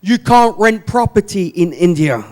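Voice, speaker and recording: A man, a native English speaker, preaching in short phrases with pauses for an interpreter and repeating them for emphasis. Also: sits high, expressive, a little mellow. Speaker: visiting preacher.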